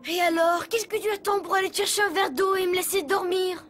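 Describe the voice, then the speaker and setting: A woman's voice: very high voice, fairly even intonation, brisk, fairly bright tone. A woman voicing a grumpy little talking dog in a children's cartoon, blustering and exasperated. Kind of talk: cartoon voice acting. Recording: music behind the voice.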